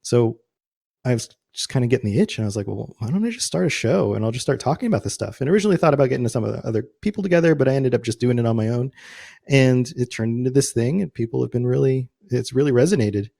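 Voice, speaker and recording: A man's voice: medium pitch, expressive, quick pace, gravelly, warm tone. A man hosting a podcast, explaining video game lore in a casual, conversational way. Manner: conversational, explanatory, quotes imagined reactions. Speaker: podcast host.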